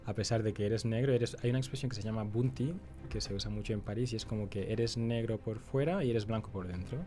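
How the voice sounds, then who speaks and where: A young man from the Canary Islands speaks as a podcast guest, his voice fairly low. He tells his story reflectively, pausing and restarting phrases as he goes. Faint music plays underneath. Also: steady tone, warm tone. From Canary Islands, Spain. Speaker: guest, actor and writer. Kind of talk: conversational storytelling.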